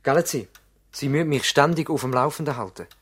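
An actor playing an overworked, curt but conscientious village doctor in a radio play. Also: male voice, fairly high pitch, brisk, a bit bright, slightly rough. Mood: concerned, instructing. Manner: curt, instructing. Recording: noisy old radio play recording.

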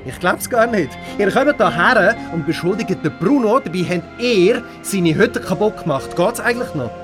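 Animated protective father. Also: high-pitched, moderate pace, music in the background. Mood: indignant. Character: protective father, indignant but caring. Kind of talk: audio story dialogue for children.

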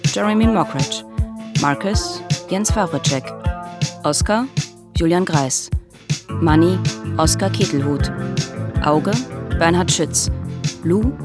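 A woman reading scripted end credits for a radio drama as its announcer. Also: low voice.

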